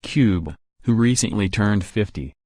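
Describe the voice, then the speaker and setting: A man narrating entertainment news in a steady, scripted read with no fillers. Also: fairly low pitch, unhurried, no echo, clean studio sound.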